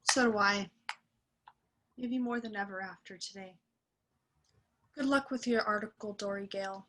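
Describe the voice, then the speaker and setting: A woman playing a sincere, gently encouraging friend, earnest and measured, in a theatrical drama. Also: medium pitch, slight background noise, close to an everyday voice. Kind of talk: theatrical musical drama acting.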